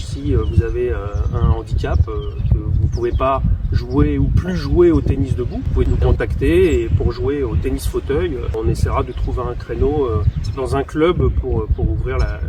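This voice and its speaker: A man's voice: medium pitch, moderate pace. A man in his forties from France, giving interview answers in a hesitant way with many fillers and restarts. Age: 40s. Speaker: interview guest, wheelchair tennis player and coach. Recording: distant mic, loud outdoor street noise.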